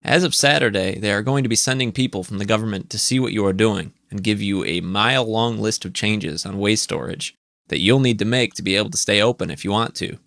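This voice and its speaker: A man's voice: on the low side, moderate pace, few pauses, no echo, clean studio sound, close to an everyday voice. A man voicing a humorless, foreign-accented male informant who speaks stiffly and formally.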